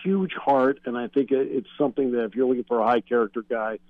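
An American man analyzes players as a guest on sports radio. His mid-pitched voice explains with emphasis. The sound is dry and band-limited, like a call-in line. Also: quick pace, no echo. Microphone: remote call-in connection. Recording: narrow, dull phone-like call-in line.

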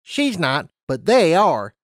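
A man voicing a brisk, enthusiastic male pony scientist in an acted audiobook, emphatic and matter-of-fact. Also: on the high side, very animated, dry recording, studio quality, voice pushed up. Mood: impressed.

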